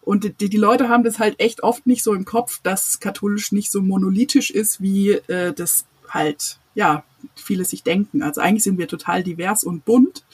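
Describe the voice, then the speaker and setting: Earnest, breathy-voiced podcast guest. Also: mid-range voice, steady tone, normal speed. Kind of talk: casual podcast conversation.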